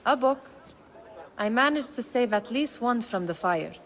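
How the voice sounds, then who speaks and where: A woman's voice: on the high side, lively intonation, unhurried, a bit bright, a little hiss or hum. A woman playing a cheeky, playful young thief in a video game, teasing as she talks, in long reverb. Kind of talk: video game cutscene acting.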